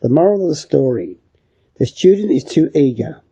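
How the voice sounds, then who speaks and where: A man with a very dark, soft-edged voice and a husky grain reads prepared English passages. The recording is dry and a little dull. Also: a little high, lively intonation, moderate pace, no echo. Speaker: reader of English lesson passages. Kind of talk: scripted narration, read aloud.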